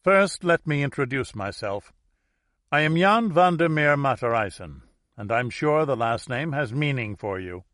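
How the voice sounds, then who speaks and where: A man voicing a formal, self-assured Dutch heir who addresses his relatives in a deliberate, commanding way, as in an audiobook thriller. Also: mid-range voice, expressive, fairly bright tone, dry recording, clean studio sound. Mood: smooth, imperious.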